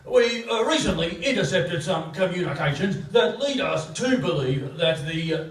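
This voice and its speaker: An actor playing a flustered police chief who stammers and hesitates, in a staged comedy radio play. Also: male voice, high voice, lively intonation. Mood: exasperated. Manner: stammering, halting, full of uhs. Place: theatre stage, picked up from afar. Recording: echoey theatre, distant microphone.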